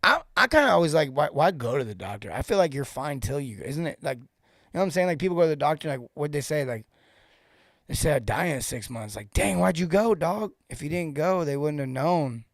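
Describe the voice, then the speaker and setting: A man in his forties hosting a casual live-stream coaching talk, joking and rambling off into asides with informal, animated banter. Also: a little high, fairly quick, dry recording, studio quality. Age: 40s. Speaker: host and sales coach for plumbers.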